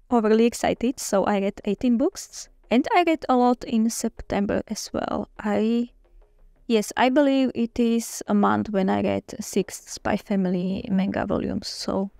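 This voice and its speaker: Gravelly-voiced woman. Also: medium pitch, a little mellow, no echo, clean studio sound. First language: Slovak. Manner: chatty, enthusiastic, with small restarts. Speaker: book vlogger. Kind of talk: casual reading-journal flip-through.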